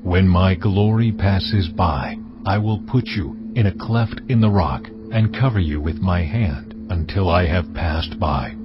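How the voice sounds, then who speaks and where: An actor playing God in a dramatized audio Bible, speaking in a slow, measured, commanding way. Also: male voice, sits low. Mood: solemn. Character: God, deep solemn voice of the Lord. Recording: slightly dull audio with music bed.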